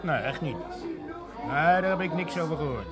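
High-pitched chummy Dutch barkeeper, played by a man. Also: expressive, moderate pace, over a noisy crowd, natural voice. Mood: evasive, defensive. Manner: streetwise, familiar, hedging. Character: chummy, evasive underworld barkeeper who knows the crooks. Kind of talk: television crime drama acting.

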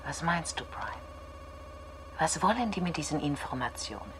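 A woman's voice: low voice, expressive, normal speed, noisy background. An actor, a woman, playing a sceptical, irritated astronaut who questions directly in a radio play.

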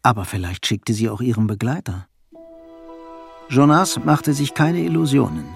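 An actor narrating a radio play as a sober, observant storyteller, with a gravelly, husky voice and measured delivery. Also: male voice, medium pitch, expressive, faint music behind. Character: sober, observant male storyteller of a melancholy novel.

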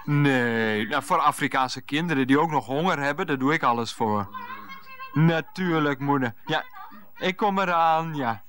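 A man's voice: fairly high pitch, measured pace, a bit bright. An actor playing an evasive, put-upon grown son who stammers and grumbles to his mother on the phone in a 1990s radio comedy. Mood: nervous, wheedling.

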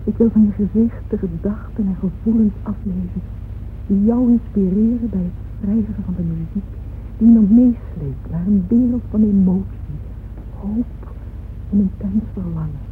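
A woman's voice: mid-range voice, moderate pace, lightly acted. An actress playing a proud, yearning, wealthy middle-aged mother who narrates intimately and fervently in a radio drama. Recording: muffled phone-like old radio recording, faint noise.

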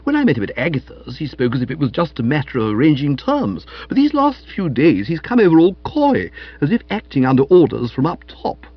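A man's voice: on the high side, wildly swooping pitch, fairly quick, hardly any pauses, slightly rough, no echo, highs cut off, heavily acted. Man voicing a blustering older English aunt, wildly swooping. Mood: earnest, suspicious. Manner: emphatic, slangy, scolding upper-class talk. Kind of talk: audiobook character voice.

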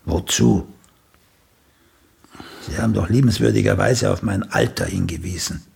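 A man's voice: on the low side, moderate pace, vocal fry, a little mellow, breathy edge, heavily acted. An older male actor playing a blunt, vain, once celebrated playwright in a German radio play, opinionated and sardonic in manner. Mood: stubborn, cutting. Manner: opinionated, sardonic, tags questions with "gell".

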